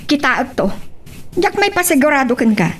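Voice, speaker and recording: A woman's voice: high voice, sing-song, normal speed, fairly bright tone, slight background noise. A woman with an Ilocano accent playing a bossy, nosy, scheming boss in a radio drama. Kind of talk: Filipino radio drama acting.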